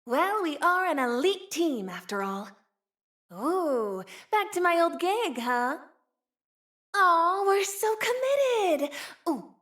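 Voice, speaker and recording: A woman's voice: sits high, a bit bright, heavily acted. A young woman voicing a playful, streetwise, wry frontier woman with a tail in a video game. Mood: upbeat, cheeky. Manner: teasing, sly, drawling, sighing between wry remarks. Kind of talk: video game character voice lines.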